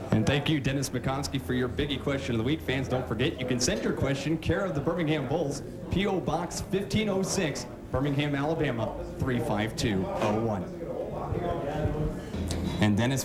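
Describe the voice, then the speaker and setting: A man hosting a sports show with a polished announcer's delivery. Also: mid-range voice. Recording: crowd chatter behind the voice. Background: crowd chatter.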